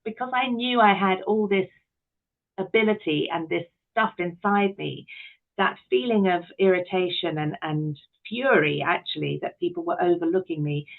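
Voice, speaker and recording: A woman's voice: lowish voice, moderate pace, creaky. A middle-aged British woman chatting candidly and with self-deprecation as an interview guest, reflecting on her own experiences. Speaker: podcast guest, actress, director and writer. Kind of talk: conversational interview, reflective personal anecdotes. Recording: thin phone-like call audio.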